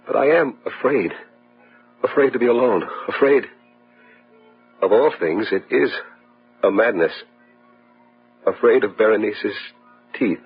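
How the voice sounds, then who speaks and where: An actor playing a tormented man consumed by fear and madness, halting and repeating himself in an old-time radio horror drama. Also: on the low side, slightly rough, heavily acted. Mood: fearful. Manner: halting, repeating words in dread. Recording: muffled old radio recording, music behind.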